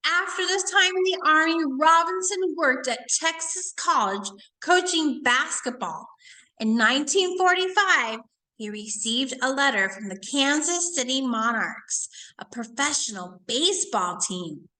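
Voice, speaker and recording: A woman's voice: high voice, lively intonation, unhurried, sharp tone, rough recording. A woman teacher reading aloud to children, stopping to ask questions and explain things in a didactic way. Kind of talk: read-aloud lesson for children with asides and questions.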